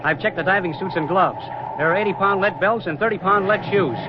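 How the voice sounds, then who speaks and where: A brisk Navy lieutenant acted by a man, rather high in voice, earnest and deferential to his superiors. The 1940s radio drama recording is dull and quite noisy. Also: lightly acted. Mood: brisk, efficient. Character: brisk, dutiful young male Navy lieutenant, admiral's aide.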